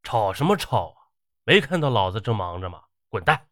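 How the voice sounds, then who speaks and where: A man's voice: fairly low pitch, big pitch swings, moderate pace, dry recording, studio quality. A man voicing a short-tempered, lecherous battalion commander in an audiobook, swearing and barking his orders. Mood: furious. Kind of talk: audiobook character acting.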